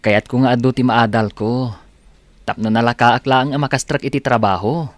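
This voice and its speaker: An actor playing a respectful, determined young adult son, with a Filipino accent in radio drama style. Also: male voice, mid-range voice, fairly even intonation, moderate pace, no echo, natural voice. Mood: hopeful. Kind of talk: Ilocano radio drama acting. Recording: loud music bed under dialogue. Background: loud background music.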